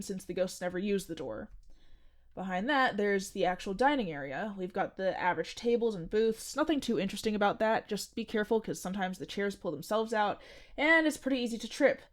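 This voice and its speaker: A woman's voice: mid-range voice, expressive, a little fast, lightly acted. A young woman acting a strange, cheerfully nerdy coworker in a comedic audio drama, matter-of-fact and teasing. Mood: breezy. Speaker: restaurant janitor.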